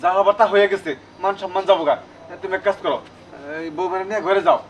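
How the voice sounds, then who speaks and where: A man's voice: sits high, quick pace, slight background noise, lightly acted. A middle-aged man playing a stern, worried village father in a comic short film, with a rural Bangladeshi accent. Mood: stern resignation. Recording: music and other voices behind.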